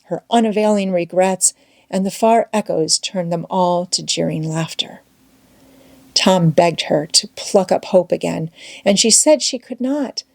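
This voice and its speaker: A woman reading a classic novel aloud as an audiobook narrator, with expressive, dramatic delivery. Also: fairly low pitch, creaky, no echo. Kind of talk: scripted storytelling narration.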